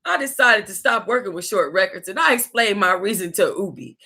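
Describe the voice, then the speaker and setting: Sassy American female book club reader, mid-pitched and very bright, casual and reactive. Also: brisk, breathy edge. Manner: sassy, animated reactions, breaking into laughter and asides. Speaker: book club host reading aloud. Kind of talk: reading a tell-all book aloud with casual, reactive asides.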